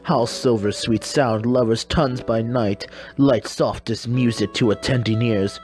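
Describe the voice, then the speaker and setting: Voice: mid-range voice, steady tone, a little slow, a little mellow, soft background music, voice pushed down, close to an everyday voice. A performer voicing a dreamy, lovesick young man who recites poetry earnestly in a comic Shakespeare dub. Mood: tender.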